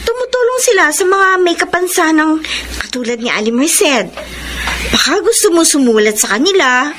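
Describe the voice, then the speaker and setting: A woman's voice: high voice, very animated, moderate pace, background noise. An actress playing a playful, helpful young woman in a Filipino radio drama. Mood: encouraging.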